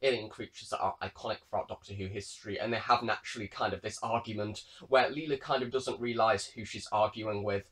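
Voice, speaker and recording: A man's voice: lowish voice, fairly even intonation, fast, few pauses, fairly bright tone. A British man giving a casual, chatty product review in a rambling way. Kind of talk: casual unboxing review talk.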